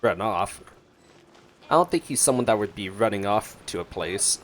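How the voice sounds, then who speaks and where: A man's voice: on the low side, animated, normal speed, soft background music. A man streaming a game with casual, playful reaction commentary, talking back to the characters. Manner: playful, reads along and talks back to characters. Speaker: gaming streamer.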